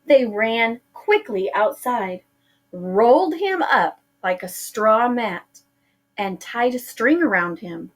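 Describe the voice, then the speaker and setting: A woman's voice: a little high, lively intonation, slow pace. A middle-aged woman teacher reading a picture book aloud to her pupils, drawing out words for effect.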